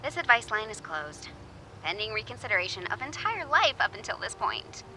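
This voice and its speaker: A woman's voice: on the high side, big pitch swings, a little fast, noisy background. A woman playing an awkward, flustered young radio advice host in a video game, rambling, correcting herself and dryly sarcastic. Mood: dry, exasperated. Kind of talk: naturalistic video game voice acting.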